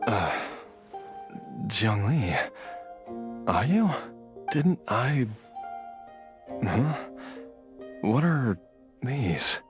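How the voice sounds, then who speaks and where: A man's voice: mid-range voice, big pitch swings, drawn-out words, pauses often, music in the background. A man playing a sickly, tender, resigned husband and father in a dramatic video game scene. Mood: dazed.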